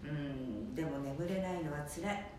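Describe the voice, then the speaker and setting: A woman's voice: low-pitched, moderate pace. A woman narrating an amateur radio drama as its presenter. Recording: echoey room, distant mic, faint noise.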